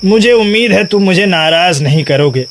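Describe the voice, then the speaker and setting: A man's voice: sits high, animated, normal speed, slight background noise. A man voicing a wealthy, scheming village headman who coaxes persuasively in a cartoon moral story. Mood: coaxing. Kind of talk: animated moral bedtime story.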